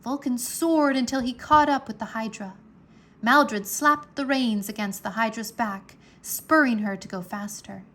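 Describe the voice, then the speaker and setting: A woman reads a children's adventure aloud as narrator. Her voice sits on the high side, and she takes her time with measured, dramatic, expressive phrasing. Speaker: storybook narrator.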